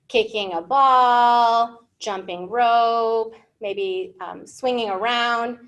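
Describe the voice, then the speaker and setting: High-pitched woman reading to children. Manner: expressive, simple questions and explanations to children. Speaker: children's librarian hosting storytime. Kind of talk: picture book read-aloud for young English learners. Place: indoor library room.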